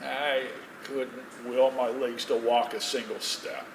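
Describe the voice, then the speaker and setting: A man playing a halting old man, echoey stage. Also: mid-range voice, little pitch movement. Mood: regretful, halting. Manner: halting, pleading, wistful. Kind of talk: stage play acting, dramatic dialogue. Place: stage in a theatre, distant microphone. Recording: echoey, noisy theatre recording from far off.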